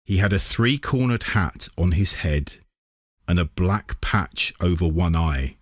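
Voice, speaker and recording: A man's voice: low voice, animated, a little slow, no room sound, clean studio sound. A man reading a children's story aloud as scripted narration. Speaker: reader of a children's book.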